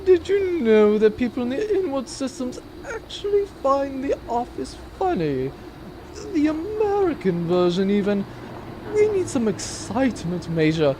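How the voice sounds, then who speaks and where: A woman's voice: high-pitched, sing-song, a little slow, background noise. An actress playing a bored, cruel, haughty noblewoman in a comedic sci-fi audio drama, with a pompous, theatrical drawl. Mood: bored, exasperated.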